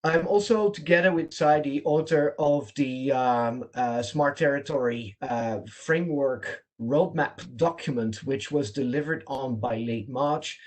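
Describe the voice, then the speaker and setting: A man's voice: medium pitch, measured pace, few pauses, darkish tone. A man from the Netherlands with a Dutch accent, presenting an online training session over a video call in an earnest, emphatic way.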